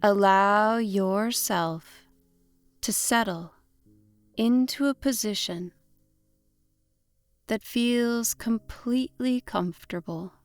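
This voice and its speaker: A woman narrating a guided imagery meditation in a gentle, soothing way, in short deliberate phrases with long pauses. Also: medium pitch, no room sound. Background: instrumental music.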